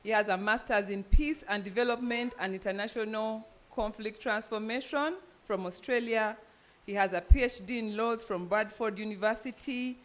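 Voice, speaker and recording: A woman's voice: on the high side, fairly even intonation, moderate pace. A woman with a Ugandan accent, formally introducing panelists as a conference moderator. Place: event venue, speaking live to an audience.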